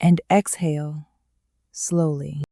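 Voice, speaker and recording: A woman leading a guided meditation in a calm, gentle way, with slow soothing instructions and long pauses. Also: deep voice, gravelly, dry recording, studio quality.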